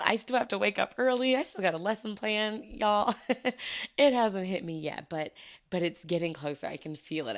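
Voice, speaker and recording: A woman hosting a casual solo podcast, her voice low and scratchy from allergies, chatting and giggling in a self-deprecating way. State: hoarse from allergies. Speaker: podcast host; teacher.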